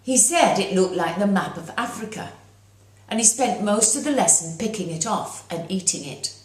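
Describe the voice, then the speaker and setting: A woman reading her own children's story aloud as its author, in an expressive narrating style. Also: medium pitch, normal speed. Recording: roomy echo.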